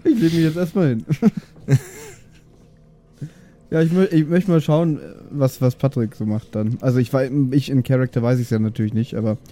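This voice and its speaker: A man's voice: mid-range voice, normal speed, dark tone. A man chatting casually as a player in a tabletop game, laid-back in manner.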